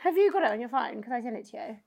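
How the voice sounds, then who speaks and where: High-voiced young woman, chatty podcast host. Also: lively intonation, very fast, creaky, darkish tone. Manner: chatty, swearing, laughing often. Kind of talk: casual chat.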